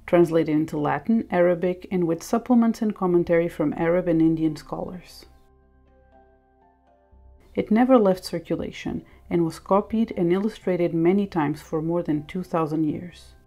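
A woman's voice: on the low side, normal speed, creaky, warm tone. A woman presenting scripted educational narration. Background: music.

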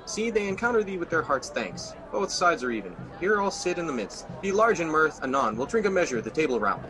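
A man's voice: on the high side, big pitch swings, a little fast, crowd noise. Casting: man as a guilt-haunted king, theatrical, commanding, over a noisy backdrop. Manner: theatrical, swings from host to horror. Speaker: actor. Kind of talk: amateur radio drama.

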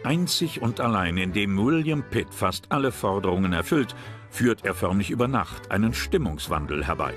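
A man's voice: fairly low pitch, animated, moderate pace. A man reading scripted narration for a history documentary. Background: background music.

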